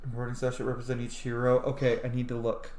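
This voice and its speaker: A man's voice: mid-range voice, completely flat delivery, fast, roomy sound, noisy background. A man giving casual, sweary, effusive let's play commentary and reading game text aloud. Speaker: gamer, roleplay streamer.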